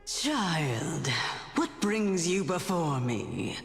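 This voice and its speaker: An actress playing a dignified, benevolent female ancestor spirit in a video game, with stately, measured delivery. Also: low voice, big pitch swings, vocal fry, full character voice. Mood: regal, kindly. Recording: echoing long reverb, music behind.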